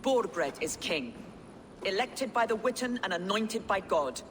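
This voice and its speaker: A woman's voice: medium pitch, lively intonation, a little slow, close to an everyday voice. An actress playing a proud, pious, defiant captive medieval queen in a video game, speaking in a haughty, formal way. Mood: proud defiance. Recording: clear background noise.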